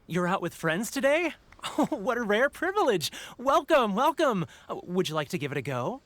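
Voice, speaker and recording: An actor playing a cheerful, obliging fortune-stall keeper in a video game, chirpy and deferential. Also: male voice, sits high, sing-song, moderate pace, a bit bright, no room sound. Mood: cheerful, welcoming. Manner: chirpy, deferential, eager to please.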